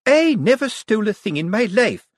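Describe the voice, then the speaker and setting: A man voicing a flustered, indignant woman servant, high and loud. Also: big pitch swings, normal speed, no room sound, clean studio sound. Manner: high-pitched, loud, defensive.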